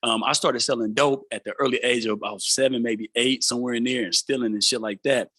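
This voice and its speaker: A man hosts an informal interview. His voice is bright and forceful, recorded dry and close. He talks in a streetwise, motivational way and keeps tagging his points with a check-in. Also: mid-range voice, steady tone, fairly quick, dry recording. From San Francisco Bay Area, United States. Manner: streetwise, often checks in with "right?". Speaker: show host, interviewer.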